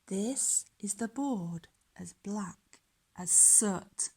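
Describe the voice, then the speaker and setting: A woman reading a children's story aloud in a dramatic, measured way, lingering on the rhymes. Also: mid-range voice, dark tone, slightly rough.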